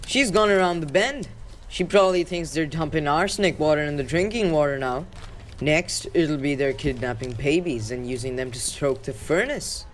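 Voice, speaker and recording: A young man playing an exasperated, scoffing working man in a high school radio drama. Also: normal speed, slight background noise, lightly acted. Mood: scornful.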